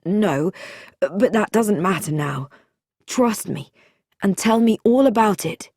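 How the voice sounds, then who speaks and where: A woman's voice: medium pitch, animated, slow, darkish tone, dry recording, clean studio sound. A woman voicing an earnest, protective young male amateur sleuth in a mystery story, with a direct manner. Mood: reassuring. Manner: direct, reassuring, questioning.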